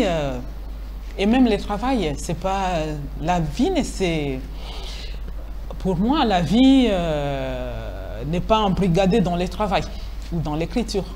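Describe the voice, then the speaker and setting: A woman writer in her forties talks in a somewhat low voice, hesitant and full of fillers and restarts. She is recorded on a lapel mic on a TV studio set. A faint studio hum sits underneath. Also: big pitch swings, takes it slowly, dark tone. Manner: hesitant, many fillers and restarts, laughs easily. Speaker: writer, interview guest. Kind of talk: casual interview answers.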